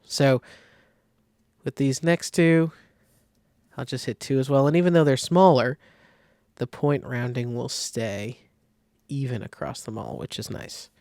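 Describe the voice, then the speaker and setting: A man giving a casual step-by-step software tutorial, thinking aloud informally as he demonstrates. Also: medium pitch, unhurried, no room sound, studio quality. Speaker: design tutorial instructor.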